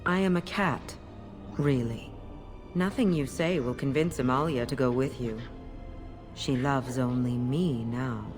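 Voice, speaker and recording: An actress voicing a sly, possessive demon in cat form, speaking formally and haughtily in a fantasy video game. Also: female voice, very deep voice, a little slow, over music. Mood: smug, possessive. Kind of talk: dramatic video game acting.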